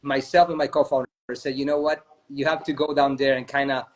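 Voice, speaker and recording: A man's voice: mid-range voice, flat delivery, brisk, slight background noise. A man from Chile, a spirits company co-founder, telling a long story in a casual interview over a video call, often checking in with "right?". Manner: chatty, often adds "right?".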